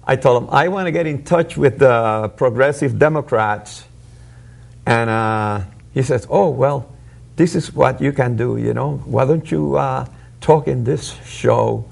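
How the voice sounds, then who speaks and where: A man born in Puerto Rico, a guest on a talk show, telling his story haltingly with many pauses and restarts. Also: medium pitch, creaky.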